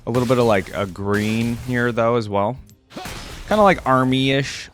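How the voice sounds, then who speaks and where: A man's voice: mid-range voice, normal speed. A man giving casual, off-the-cuff reaction commentary as a video host, musing aloud in slangy talk. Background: music and video game sounds.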